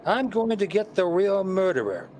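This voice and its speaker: A man's voice: high voice, sing-song, normal speed, darkish tone, a little hiss or hum. A man playing a witty, playful detective and teasing husband in a vintage-style radio play, with wry theatrical delivery. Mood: confidently scheming.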